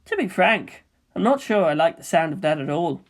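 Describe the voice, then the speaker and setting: A woman voicing an earnest, thoughtful young male pilot in a story, calm, serious and polite. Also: fairly low pitch, normal speed. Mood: earnest, grave.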